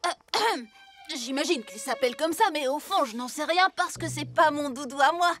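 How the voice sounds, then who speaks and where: An actor voicing an earnest, excitable six-year-old boy superhero in a children's cartoon. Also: sits high, normal speed, faint music behind. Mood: sheepish. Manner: earnest, excitable, sometimes sheepish. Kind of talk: animated children's cartoon dub.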